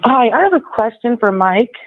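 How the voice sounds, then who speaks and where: A man's voice: very high-pitched, very animated, normal speed, no echo. A man calling into a live show, insistent and rambling, talking over the host. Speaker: caller. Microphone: phone. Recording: phone line, dry.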